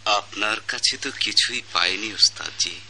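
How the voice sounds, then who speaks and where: A man with a Bangladeshi accent playing a humble, devoted disciple in a radio drama, theatrical, halting and pleading. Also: lowish voice, sharp tone, heavily acted. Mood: sad, submissive. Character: humble, wounded male disciple called mad, lyrical and devoted. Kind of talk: Bengali radio drama acting. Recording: noisy old radio drama recording.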